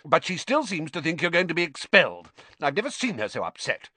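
A man's voice: on the high side, wildly swooping pitch, fairly quick, bright, forceful tone, no room sound, voice pushed up, heavily acted. A man voicing a pompous teenage boy, an officious older brother, indignant and terse, in an audiobook. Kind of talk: audiobook character acting.

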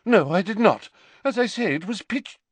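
A man voicing a haughty older female divination teacher in a storybook, with theatrical, drawn-out words and sniffy, mystical self-importance. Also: high voice, expressive, no echo, voice pushed up. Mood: haughty, indignant.

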